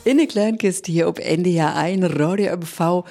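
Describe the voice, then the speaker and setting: A woman with a northern German accent hosting a radio interview in Low German dialect. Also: moderate pace, over music. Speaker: radio host.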